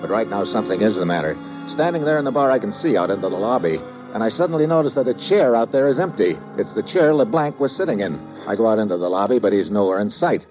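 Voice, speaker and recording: An actor playing a wry, tough investigator in an old-time radio drama, with clipped, slangy delivery and first-person narration. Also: male voice, medium pitch, close to an everyday voice. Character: wry, tough, hard-boiled male investigator, quick with wisecracks. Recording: dull vintage radio recording with music.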